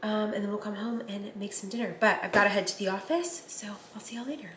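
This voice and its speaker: A woman's voice: medium pitch, fast talker, slight background noise. A young woman with a slightly nasal voice from a recent cold, chatting casually in a rambling vlog. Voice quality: a little nasal. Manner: chatty, rambling, lots of fillers. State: recovering from a cold. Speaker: lifestyle vlogger.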